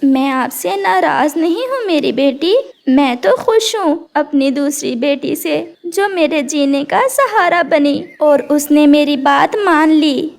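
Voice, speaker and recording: A woman's voice: sits high, lively intonation, takes it slowly, creaky, hardly any pauses, exaggerated voice. A woman voicing a loving, long-suffering mother bird in a cartoon, pleading and emotional. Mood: sorrowful. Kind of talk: Hindi cartoon character dialogue.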